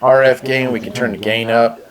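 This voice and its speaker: A middle-aged man giving a casual, plain-spoken product demonstration as host, in an unhurried manner. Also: medium pitch, steady tone, rough recording. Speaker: host reviewing radio gear. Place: small room at a desk, indoors.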